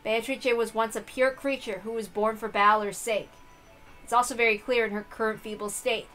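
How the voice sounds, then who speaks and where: Female visual novel reader, higher voice, expressive storytelling that slips into casual chat, music underneath. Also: moderate pace, rough recording. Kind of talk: expressive read-aloud narration with casual asides.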